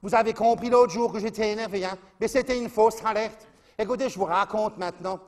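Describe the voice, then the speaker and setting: A man's voice: high-pitched, fairly quick, close to an everyday voice. A man playing a chatty middle-aged Alsatian housewife who gossips and confides on the phone, in a comic monologue. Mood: gossiping.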